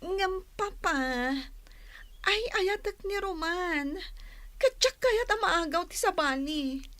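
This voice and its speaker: Woman acting lovesick ilocano girl, really high bright whiny pleading, radio drama. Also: animated, slow pace, no echo, heavily acted. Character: lovesick pleading young daughter.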